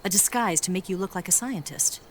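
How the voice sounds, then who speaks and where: A woman's voice: medium pitch, fairly quick, a little hiss or hum, lightly acted. A woman playing a cool, teasing spy in a video game cutscene, poised and coy in her delivery. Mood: businesslike. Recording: night insect ambience behind the voice.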